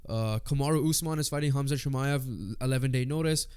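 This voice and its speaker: A man's voice: mid-range voice, a little mellow, clear, resonant tone. Congested man in casual sports chat. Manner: reads off lists haltingly with fillers. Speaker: podcast co-host.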